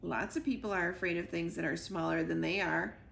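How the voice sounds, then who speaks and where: Woman reading as a patient, helpful chick; gentle, bright, unhurried. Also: fairly low pitch, fairly even intonation, gravelly, a little hiss or hum, pitched below the performer's own voice. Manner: gentle, reasonable questioning.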